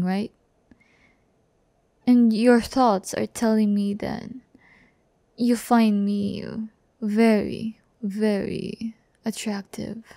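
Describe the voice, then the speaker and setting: Slow, soft-toned teasing demon girl played by a woman. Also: fairly high pitch, no echo. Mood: amused, curious. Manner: breathy, unhurried, teasing, often dropping to a whisper. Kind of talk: intimate ASMR audio roleplay. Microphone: close-up microphone.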